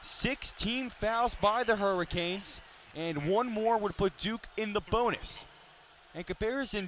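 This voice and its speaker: A man's voice: high voice, fairly bright tone. A man in his twenties calling live basketball on the radio in a detailed, polished broadcaster's delivery. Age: 20s. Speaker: sports play-by-play announcer. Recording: live sports broadcast with arena noise. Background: basketball arena ambience.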